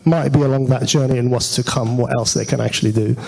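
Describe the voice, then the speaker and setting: Gravelly-voiced man presenting on stage. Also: medium pitch, steady tone, fast, darkish tone. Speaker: conference speaker. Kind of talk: informal technical presentation. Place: auditorium stage at a meetup.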